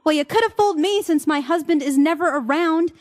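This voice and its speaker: An actress in an audio play playing an irate, sarcastic, fed-up wife with a theatrical, exasperated way of talking. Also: female voice, sits high, brisk, lightly acted. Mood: ranting. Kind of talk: audio drama dialogue.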